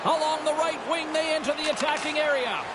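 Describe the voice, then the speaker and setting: A man voicing an excitable hockey play-by-play announcer in a sports video game, calling the action in clipped, punchy phrases. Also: very high-pitched, brisk. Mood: brisk, focused. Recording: music and crowd noise behind. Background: loud arena crowd and music.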